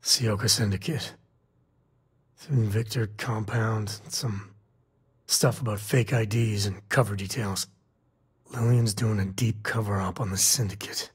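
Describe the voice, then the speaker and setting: An actor playing a protective, wry space-cowboy father in a video game, with a husky, rough-edged voice and a casual, folksy way of talking. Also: on the low side, steady tone, measured pace. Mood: grave. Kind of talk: video game dialogue acting.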